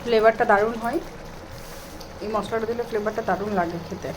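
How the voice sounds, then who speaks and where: A woman's voice: medium pitch, fairly quick. A woman with a Bengali accent giving a casual cooking demonstration, explaining each step as she does it. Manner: step-by-step narration of own actions. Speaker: home cook vlogger. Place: home kitchen, indoors. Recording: noisy home kitchen, frying and sizzling. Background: frying, sizzle, cooking noise.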